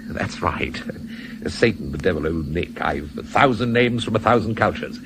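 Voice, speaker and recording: An actor playing an urbane, old-fashioned Satan in a radio play, politely fussy and matter-of-fact about the uncanny. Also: male voice, low voice, expressive, moderate pace. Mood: explaining, genteel. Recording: noisy 1970s radio drama recording.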